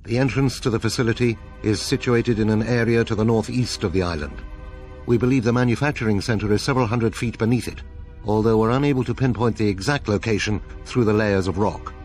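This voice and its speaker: Actor as a brisk male military briefer. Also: lowish voice, darkish tone, faint music behind, natural voice. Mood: businesslike. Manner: matter-of-fact, authoritative. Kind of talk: scripted mission briefing.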